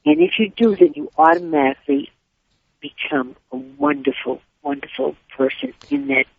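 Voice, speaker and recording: A woman's voice: fairly high pitch, big pitch swings, gravelly, husky, dry recording. An older woman, a Yiddish-speaking author, chatting upbeat and rambling as an interview guest. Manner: upbeat, rambling, quick tangents. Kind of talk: casual interview chat. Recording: tinny phone line.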